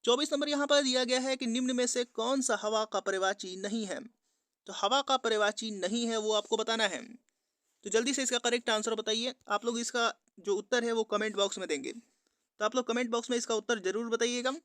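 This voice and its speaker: A man with a notably high, clean and resonant voice delivers an exam-prep lesson. He works methodically through questions and answers in a repetitive instructional way. The sound is dry and close, with very little room in it. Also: steady tone, fairly quick, a bit bright, smooth, no room sound. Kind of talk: quiz-style lesson narration, reading questions and giving answers.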